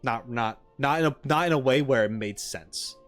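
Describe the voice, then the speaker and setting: A man's voice: medium pitch, normal speed, soft background music. A man doing casual livestream commentary as he reacts to a game, opinionated and emphatic, in an argumentative way. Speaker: streamer reacting to game voice lines.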